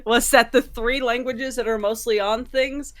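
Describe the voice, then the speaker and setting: A woman's voice: fairly high pitch, moderate pace. A woman co-hosting a casual podcast, bantering in a jokey, sweary way and telling little stories. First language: French-speaking ability alongside English. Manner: sweary, jokey, animated storytelling with acted quotes. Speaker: podcast co-host.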